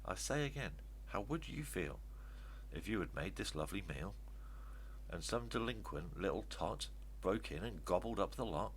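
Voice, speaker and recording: An older man reads rhyming verse aloud as children's storytelling, in an expressive, emphatic way. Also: sits low, moderate pace, rough recording. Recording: steady background noise with hum.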